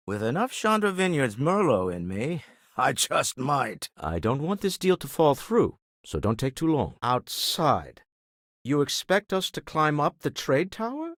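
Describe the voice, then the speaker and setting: An actor playing a wealthy, urbane older businessman explorer in a video game, speaking in a refined, measured way with dry wit. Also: mid-range voice, no echo. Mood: wry. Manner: refined, measured, dryly witty phrasing. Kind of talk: video game character dialogue.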